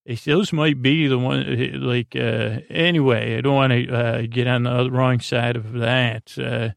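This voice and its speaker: Low, creaky-voiced man rambling at bedtime. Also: steady tone, no echo, clean studio sound. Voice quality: gravelly, creaky voice. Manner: meandering, halting, full of tangents and self-interruptions. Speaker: podcast host. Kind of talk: rambling bedtime monologue to help listeners sleep.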